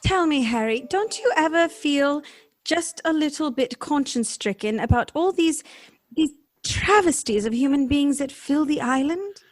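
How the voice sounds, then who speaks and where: A troubled, tender-hearted wife acted by a woman in a radio drama. The voice is high and dry, with an earnest, formal way of questioning and appealing. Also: animated, moderate pace, no echo. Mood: doubtful, questioning.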